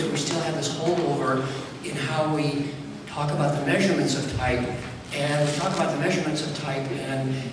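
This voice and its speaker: A male conference host gives a loose, stumbling introduction, stopping and restarting as he goes. He is picked up from across the room, and his voice rings with a long hall echo. A constant hiss of room noise sits underneath. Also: a little high. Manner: halting, full of fillers and restarts. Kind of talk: casual introduction. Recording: echoey hall recorded from far off.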